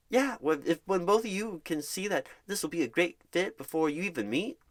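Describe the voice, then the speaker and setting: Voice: medium pitch, fairly bright tone. A speaker in an ASMR roleplay as an upbeat, encouraging job recruiter on a phone screening, talking in a wordy, reassuring way with pet names. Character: happy, eager, encouraging job recruiter and career coach. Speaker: ASMR performer playing a job recruiter and career coach.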